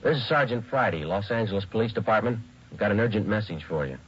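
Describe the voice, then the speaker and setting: A clipped, matter-of-fact police sergeant, narrating in a deep, low voice at a brisk pace. He delivers every line flat and businesslike, as in a vintage radio crime drama. The sound is dull and muffled, like an old broadcast recording. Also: husky.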